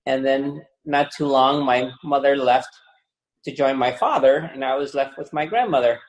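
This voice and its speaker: A man in his sixties from the Philippines, a guest on a podcast, relaxed and joking in his answers. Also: mid-range voice, moderate pace, reverberant, poor audio. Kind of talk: casual interview.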